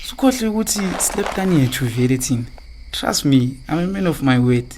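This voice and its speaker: A man's voice: fairly high pitch, lively intonation, fairly quick, background noise, lightly acted. A man with a Zimbabwean accent acting an evasive, philandering husband in a radio drama, stammering through his excuses. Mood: coaxing, reassuring. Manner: stammering excuses, switching into English phrases. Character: evasive philandering husband and father, smooth-talking, defensive.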